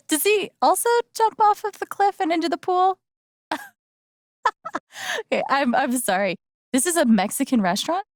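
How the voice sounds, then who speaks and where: An actress playing an earnest, gentle young woman in a video game, speaking softly and haltingly with sincere, naturalistic delivery. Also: high voice, dry recording, clean studio sound, heavily acted. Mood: amused.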